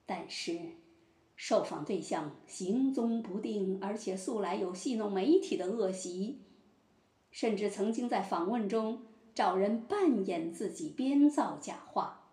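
A woman playing a solemn judge in a mock-trial audio drama, speaking formally and deliberately. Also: medium pitch, lively intonation, mellow, close to an everyday voice. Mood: formal, grave. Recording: roomy echo.

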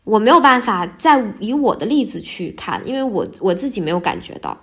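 A woman's voice: mid-range voice, big pitch swings, a little fast, a bit bright, husky. Candid woman in casual interview chat. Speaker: podcast guest, voice actor.

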